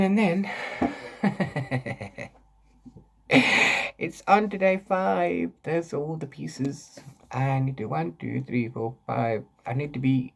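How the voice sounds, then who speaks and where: A man's voice: mid-range voice, gravelly, a little mellow. A man talking casually as a book vlogger, rambling and often interrupting himself with repeated words. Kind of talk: casual book chat to camera.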